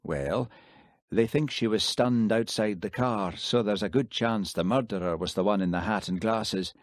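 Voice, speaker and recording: A man playing a shrewd, easygoing Highland constable, dry and understated. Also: normal speed, dry recording, lightly acted.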